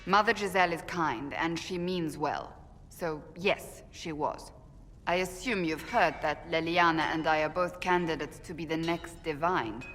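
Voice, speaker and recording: Blunt holy warrior lady in a fantasy game, really low voice, formal, big echoey hall. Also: a little slow, a bit bright. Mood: weary, irritated. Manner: forthright, grave, formal. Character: blunt, principled warrior woman of a holy order. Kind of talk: dramatic video game dialogue. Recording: long hall reverb, faint music behind.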